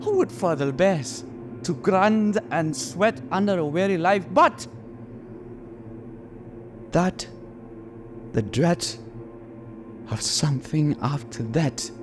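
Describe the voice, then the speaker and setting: An actor playing a melancholy, brooding prince in a theatrical Shakespearean monologue, speaking deliberately with dramatic pauses. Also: male voice, a little high, lively intonation, noisy background. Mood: troubled, resigned.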